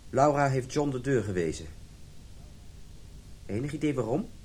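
A man's voice: medium pitch, animated, moderate pace, darkish tone, lightly acted. A male actor playing a wounded, domineering middle-aged husband in a radio drama, with an agitated manner. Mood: tense, demanding. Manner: agitated, stammering when upset.

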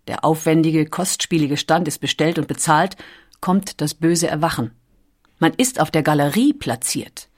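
A female narrator with a slightly low voice delivers a scripted documentary.

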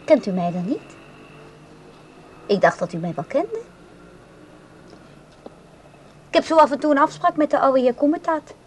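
Lonely young woman, high voice, touchy and clinging, radio drama, background noise. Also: big pitch swings, brisk, a little mellow. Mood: awkward, insistent. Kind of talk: Dutch radio play acting.

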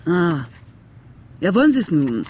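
An actor playing a chatty, slightly put-upon small-town bookseller in a radio drama. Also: male voice, high voice, sing-song, a little slow, a little mellow, a little hiss or hum. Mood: obliging, dry.

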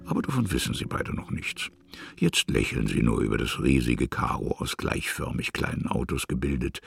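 A man reading literary scripted narration in a measured way, with a gravelly, husky voice and pauses between short phrases. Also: a bass voice, no room sound, clean studio sound.

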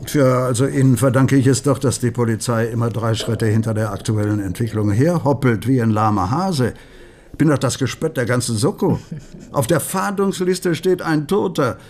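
A man's voice: mid-range voice, moderate pace, a little mellow, slight background noise, lightly acted. An actor playing a wry, officious male police investigator in a radio crime drama, explaining step by step. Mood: sardonic.

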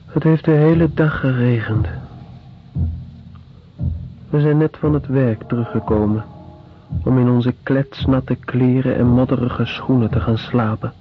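An actor playing a weary male prisoner in a radio drama, speaking in a measured, deliberate, descriptive monologue. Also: mid-range voice, steady tone, close to an everyday voice. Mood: weary, bleak. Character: weary male prisoner recalling squalid penal-colony life. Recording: dull radio recording with music.

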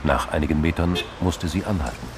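Very deep-voiced male narrator. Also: fairly quick, background noise. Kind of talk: scripted radio crime drama narration.

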